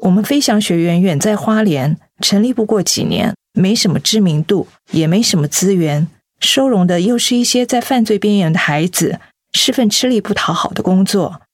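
Actress as a courteous school director, dry sound. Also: female voice, medium pitch, expressive, moderate pace, few pauses, dry recording, close to an everyday voice. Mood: curious, friendly. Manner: courteous, hospitable. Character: courteous middle-aged woman director of a youth school. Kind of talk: radio drama acting.

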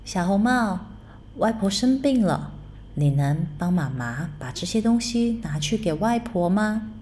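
Woman as a storybook mother, caring, gentle, urging, slow. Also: medium pitch, expressive, a little hiss or hum, natural voice. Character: caring mother of a little girl. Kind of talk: bedtime storybook reading.

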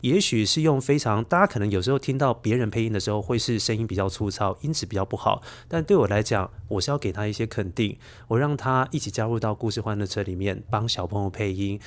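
A middle-aged man with a Taiwanese Mandarin accent explains his work in a measured, reflective way as an interview guest. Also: lowish voice. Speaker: podcast guest, children's storyteller and radio DJ. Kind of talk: interview answers, explaining behind-the-scenes work.

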